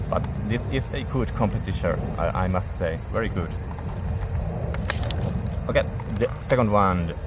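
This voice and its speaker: A man casually reviewing albums in a halting way, searching for words as he goes. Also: low-pitched, lively intonation, heavy background noise. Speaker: music reviewer.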